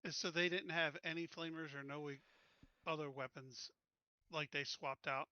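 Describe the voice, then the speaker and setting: A man with a fairly high, bright and forceful voice chats casually as a player at a tabletop game. He keeps asking and checking details of the rules. The recording is dry and slightly dull. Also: fairly even intonation, normal speed, no room sound.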